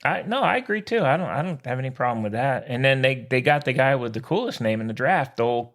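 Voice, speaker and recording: A man's voice: medium pitch, animated, fast, a bit bright. A man co-hosting a sports podcast in casual banter, with an informal, exclamatory, slangy way of talking.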